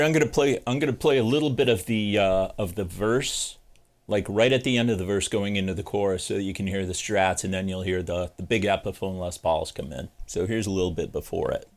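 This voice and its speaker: A middle-aged man with a low, deep voice walks through guitar settings in his home studio. He is chatty and casual, thinking aloud and poking fun at himself as he goes. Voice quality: deep bass singing voice. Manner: chatty, self-deprecating, thinking aloud with fillers. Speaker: guitar channel host. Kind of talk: casual gear tutorial.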